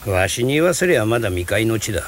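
Gruff quick-talking man in a film dub. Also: lowish voice, very animated, heavy background noise. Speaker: voice actor dubbing a film role. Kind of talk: film dubbing.

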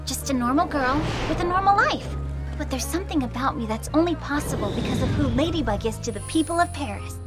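A woman voicing an earnest, brave teenage superheroine in an animated video game, with expressive delivery. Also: sits high, fast talker, over loud music. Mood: earnest, reflective.

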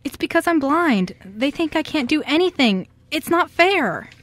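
Woman doing a shy eager little kid in a radio play, highish voice, kinda stammery. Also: big pitch swings, a little slow, fairly bright tone, exaggerated voice. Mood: hurt, defiant. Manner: hesitant, stammering. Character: timid, eager seven-year-old blind girl. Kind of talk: amateur radio play acting.

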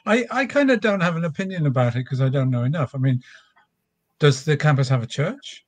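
A middle-aged man with a husky voice, discussing casually as a panellist on a video call. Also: fairly high pitch, animated, fairly quick. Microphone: video call microphone.

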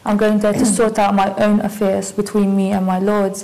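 Young woman, relaxed chatty storyteller. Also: medium pitch, normal speed, poor audio. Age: young adult. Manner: relaxed, chatty with many fillers. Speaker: interviewee. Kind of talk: casual recollection. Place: dark studio.

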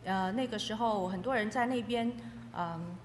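A woman's voice: medium pitch, moderate pace. A woman interpreting a testimony aloud, phrase by phrase. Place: echoey hall. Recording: echoey hall with faint noise.

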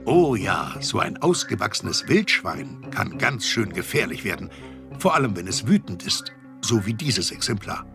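A man narrating a children's audio drama as an expressive, wry storyteller. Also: fairly low pitch, moderate pace, creaky, over music. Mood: wry, suspenseful.

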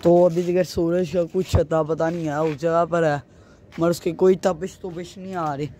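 A young man with a hoarse throat chatting casually as a vlogger, rambling loosely as he shows things around an outdoor rooftop while filming on a handheld phone. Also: fairly high pitch, steady tone, moderate pace, dark tone. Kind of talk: casual vlog chat.

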